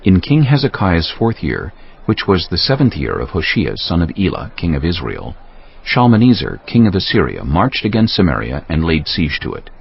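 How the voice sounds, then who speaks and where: A man's voice: deep voice, huge pitch swings, normal speed, vocal fry, slightly rough. A man narrating a dramatized scripture audiobook in a scripted, storytelling delivery. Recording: muffled audio with faint music.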